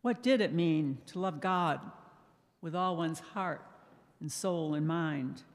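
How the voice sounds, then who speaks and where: An older woman speaking softly and thoughtfully to a congregation, sharing memories in a measured way as a lay speaker. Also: low voice. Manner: soft-spoken, measured, reminiscing. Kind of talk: reflective personal talk to a congregation. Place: church sanctuary. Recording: echoey church hall reverb.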